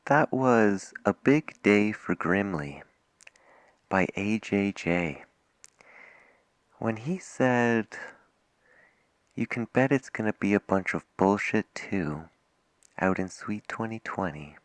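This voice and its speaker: A soft-spoken man doing an ASMR late-night radio DJ roleplay, gentle and reflective, close to the microphone. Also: lowish voice, animated, takes it slowly, long pauses, no echo.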